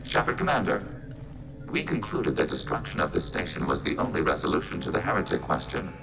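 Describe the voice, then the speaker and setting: A man's voice: extremely low pitch, lively intonation, fairly quick, vocal fry, music in the background, heavily acted, rough recording. A man voicing a logical, emotionless robot soldier of a collective mind in a video game, speaking in formal, clipped statements through synthetic processing. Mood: impassive, analytical. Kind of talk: video game character acting.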